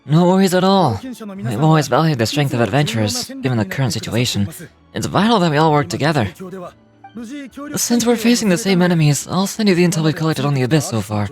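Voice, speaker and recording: A man's voice: a little high, sing-song, a little fast. An actor playing a courteous, dutiful male officer in a fantasy game, earnest and businesslike. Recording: music behind.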